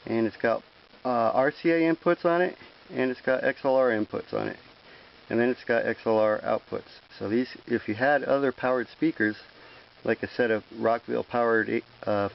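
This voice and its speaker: A man with a gravelly, creaky voice walks through a hands-on tutorial in plain, informal language. He explains things step by step. The handheld camera audio is dull and lo-fi, with a faint hiss behind him. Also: mid-range voice. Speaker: presenter of a hands-on how-to video. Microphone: handheld camera microphone.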